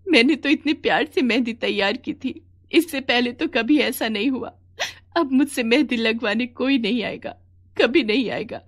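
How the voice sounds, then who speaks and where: Woman voicing an eager young henna girl, high-pitched. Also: moderate pace, natural voice. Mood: distressed. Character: eager, tender-hearted young daughter who paints henna.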